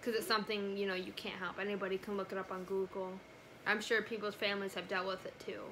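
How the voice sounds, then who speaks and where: A woman's voice: mid-range voice, flat delivery, fast talker, a bit bright, a little hiss or hum, low-quality recording. A young woman telling a personal story casually to camera, with lots of "like" and "you know" in her talk. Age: young adult. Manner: conversational, frequent "like" and "you know". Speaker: family vlogger.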